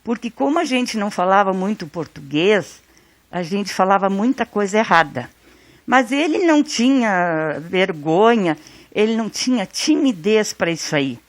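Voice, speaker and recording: Mulher relembrando o irmão, som seco. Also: female voice, mid-range voice, dry recording. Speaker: interviewee, sister. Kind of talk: interview recollection.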